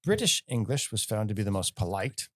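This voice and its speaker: A man's voice: fairly low pitch, very animated, brisk, fairly bright tone, no echo, clean studio sound. A man co-hosting a casual comedy podcast, reading news stories aloud between jokes. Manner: reads news items aloud, breaks into goofy mock-Italian voices.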